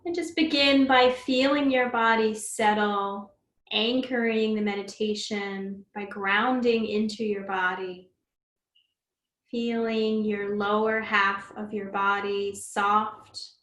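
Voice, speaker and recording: A woman leading a guided meditation as a teacher, speaking gently and soothingly, unhurried, with long pauses. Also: mid-range voice. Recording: roomy echo, faint background noise.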